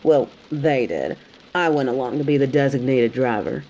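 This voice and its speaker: A woman's voice: extremely low pitch, normal speed, dark tone, rough recording. A woman playing a tough, sassy bounty hunter in an audio roleplay, speaking straight to the listener with dry, taunting, scolding bluntness. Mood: scornful, taunting. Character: tough, sardonic female bounty hunter, grudgingly hospitable, scolding. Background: rain.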